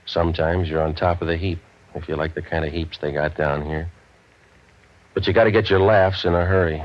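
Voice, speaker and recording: An American man playing a cynical, wisecracking hardboiled waterfront detective in an old radio drama, with a deadpan, sardonic delivery. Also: low voice, natural voice. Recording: muffled old radio broadcast recording.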